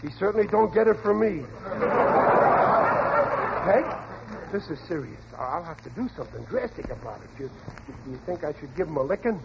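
A man's voice: on the high side, animated, normal speed, creaky, long pauses, heavy background noise. A man with an American accent playing a blustering, uneducated but well-meaning middle-aged father in a 1940s radio comedy, stammering and repeating himself, on an old muffled recording. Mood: scheming, confident.

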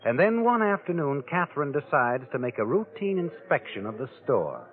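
Taunting American radio crime narrator, tinny. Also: male voice, on the high side, sing-song, normal speed, a crowd in the background. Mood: sly, taunting. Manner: knowing, taunting, speaks directly to the character. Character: sardonic all-knowing male narrator taunting the guilty man. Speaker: host and narrator. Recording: tinny old radio broadcast, music behind.

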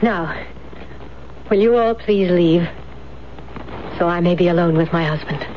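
An actress playing a firm, commanding wife in a radio drama, with a crisp, insistent manner. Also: female voice, on the low side, a little slow, close to an everyday voice. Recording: muffled, faintly hissy radio recording.

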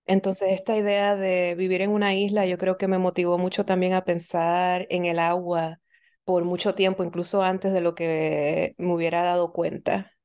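A woman speaks as an interview guest, with a mellow, warm tone. She sounds reflective and unhurried. She often ends her points with a questioning tag. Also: fairly low pitch, little pitch movement, smooth. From Puerto Rico. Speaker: guest author, professor. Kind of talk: thoughtful interview answers.

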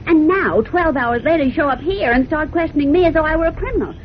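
An actress playing a brash, teasing, stubborn newspaper reporter in a 1940s radio drama, sassy and assertive. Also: female voice, sits high, fast, lightly acted. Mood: indignant. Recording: dull, faintly noisy old radio recording.

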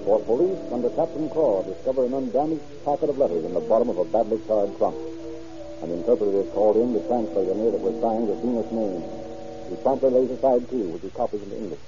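An actor playing a solemn narrator, delivering scripted crime drama narration in old-time radio style. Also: male voice, fairly low pitch, fast talker, highs cut off, music in the background, close to an everyday voice.

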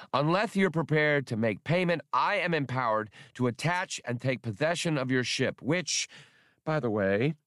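A man voicing a small, furry alien debt collector in an audiobook, squeaky, fussy, officious and stubbornly insistent. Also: fairly bright tone, no room sound, studio quality.

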